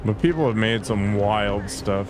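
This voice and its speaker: A man's voice: on the low side, unhurried, background noise. A man casually commenting with wry asides while playing a video game. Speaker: gameplay streamer. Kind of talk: let's play commentary.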